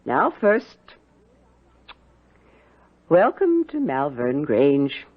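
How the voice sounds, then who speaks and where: An actress playing a stern, commanding middle-aged housekeeper aunt, clipped and authoritative yet caring, in a 1970s radio drama. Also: female voice, low voice, huge pitch swings, extremely slow, husky, exaggerated voice. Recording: narrow-band old radio broadcast.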